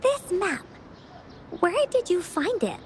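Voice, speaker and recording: A woman's voice: very high voice, big pitch swings, slow, slight background noise, full character voice. A woman voicing an eager, bubbly little girl adventurer in an anime video game, with a childlike, peppy delivery. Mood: curious, excited. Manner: childlike, peppy, refers to herself by name.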